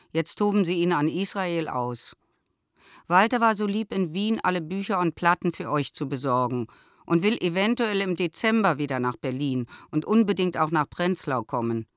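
A woman's voice: lowish voice, no room sound, studio quality, close to an everyday voice. An actress playing a worldly, opinionated and affectionate middle-aged mother, speaking a letter in a radio drama. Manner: emphatic, opinionated.

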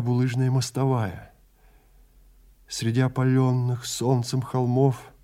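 A man's voice: mid-range voice, mellow. A man narrating a scripted story in a dramatic style.

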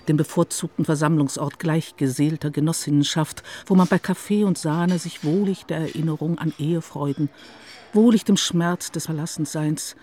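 Male radio-drama narrator, fairly high voice, literary scripted reading. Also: a little mellow.

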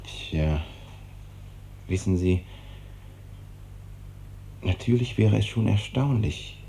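Evasive hospital doctor, very lively pitch. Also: male voice, slow, gravelly, full character voice. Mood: grave. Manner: evasive, hesitant. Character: evasive, brisk hospital doctor, uneasy with hard truths. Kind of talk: 1950s German radio drama acting. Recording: noisy old 1950s radio recording with rumble.

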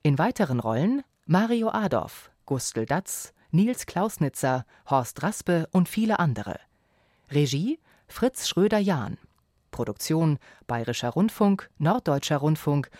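A woman's voice: sits low, warm tone, no echo, studio quality. A woman radio announcer reading scripted credits and an introduction.

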